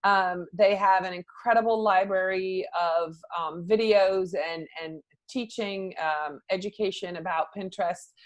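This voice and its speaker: A middle-aged woman from the Netherlands talks through her work as a podcast guest. Her voice sits at an ordinary pitch, and she speaks in a loose, chatty way with lots of ums and restarts. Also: measured pace, few pauses. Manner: chatty, many fillers and restarts. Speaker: social media consultant, podcast guest. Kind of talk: conversational interview answers.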